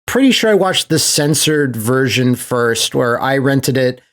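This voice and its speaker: A man's voice: medium pitch, expressive, unhurried, fairly bright tone. A man hosting a podcast, chatting casually in an enthusiastic, rambling way. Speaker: podcast host.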